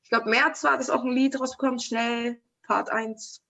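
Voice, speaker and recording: A young woman in casual chat, slangy and fast with youthful chatter. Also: on the high side, steady tone, creaky, a bit bright.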